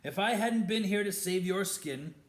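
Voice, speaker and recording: A man's voice: high-pitched, normal speed, pitched above the performer's own voice, close to an everyday voice. A man voicing a defiant teenage boy wizard who speaks in an urgent, heated way. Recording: roomy echo.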